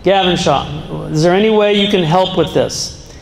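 A man's voice: sits high, normal speed, vocal fry. A middle-aged man giving an earnest talk to students, holding a microphone in a large school gym, sometimes breaking off and restarting and joking with his listeners. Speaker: guest speaker. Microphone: handheld microphone. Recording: long reverb in a large hall. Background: seated student audience.

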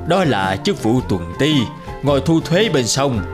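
A man's voice: medium pitch, big pitch swings, measured pace. A man voicing a stately middle-aged king in a folktale cartoon, formal and authoritative, with music behind. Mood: grateful, solemn. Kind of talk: Vietnamese animated folktale dubbing.

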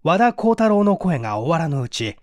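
A man's voice: a little high, very animated, moderate pace, dry recording. A man reading mystery fiction aloud as a dramatic scripted narration. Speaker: audiobook reader.

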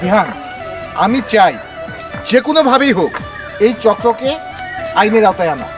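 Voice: medium pitch, expressive, normal speed, natural voice. An actor playing a stern, resolute senior police officer in an amateur short film. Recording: music behind the dialogue.

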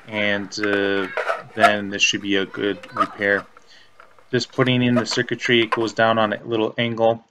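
A man giving step-by-step instructional narration as a repair technician, in a halting, plain-spoken way. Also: fairly low pitch, a bit bright, a little hiss or hum. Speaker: repair technician presenting a tutorial.

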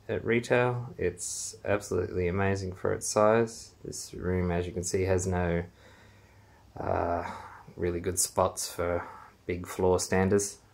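A man speaks in a low, creaky voice at a slow, unhurried pace. He talks casually with frequent fillers and pauses as he walks a phone camera around his small basement room. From Australia. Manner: unhurried, halting with many fillers. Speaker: home theater owner giving a room tour. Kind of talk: casual walkthrough narration. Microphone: handheld phone camera, filming while walking.

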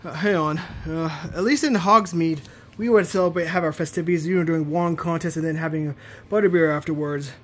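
A young man voicing his plush toys in an improvised cartoon, rambling with lots of restarts, recorded on a handheld phone in a bedroom. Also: fairly high pitch. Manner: rambling, halting, many restarts. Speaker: puppeteer voicing all the plush characters.

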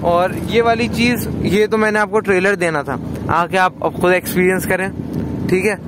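A man's voice: sits high, fairly even intonation, moderate pace. Man vlogging from a noisy ferry. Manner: chatty, curious, asks questions. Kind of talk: casual travel vlog. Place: outdoors on a harbour ferry boat. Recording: loud engine and wind noise. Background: boat engine and wind noise.

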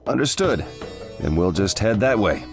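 A man voicing a polite, dutiful ninja captain with a courteous, measured manner in an anime game dub. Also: fairly low pitch, sing-song, over music.

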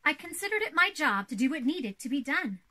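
An elegant older-sister princess, acted out by a young woman reads a children's storybook. The voice is high and bright. The delivery is poised and theatrical, as befits proper royalty. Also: big pitch swings, a little fast, full character voice. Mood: earnest, prim. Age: young adult. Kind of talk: storybook read-aloud for children.

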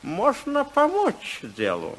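High, swooping polite society gentleman. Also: huge pitch swings, slow, full character voice, poor audio. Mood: polite, effusive. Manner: courteous, effusive. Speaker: radio play actor. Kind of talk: 1950s Soviet radio drama acting. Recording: 1950s radio play recording.

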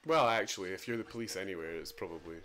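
A man's voice: low voice, very fast, fairly bright tone. A young Scottish man giving casual, jokey, sweary commentary as a gaming video host. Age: young adult. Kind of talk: casual joking game commentary.

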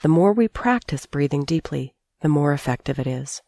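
A woman with a low, mellow voice leads a guided sleep meditation. She sounds calm and soothing, placing her phrases in a measured way with long pauses. The recording is very dry and close, with no room sound. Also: creaky, no room sound. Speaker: meditation teacher.

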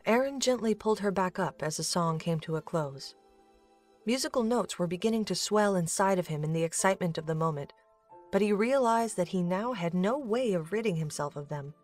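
A woman narrator reads a scripted story in a slightly low voice. Soft music plays behind her narration. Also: animated, brisk.

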